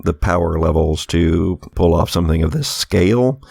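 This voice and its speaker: A man improvising narration as a game master at a tabletop game, phrasing things in a halting, thoughtful way. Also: low-pitched, vocal fry, darkish tone, slightly rough, dry recording, studio quality.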